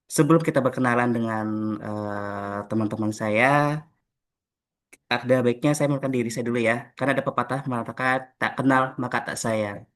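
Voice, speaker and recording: A young man from Jakarta runs a relaxed podcast chat with colleagues. His voice sits at an ordinary middle pitch, and he speaks in a friendly way, with filler pauses between questions. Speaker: podcast host. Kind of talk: casual group podcast chat.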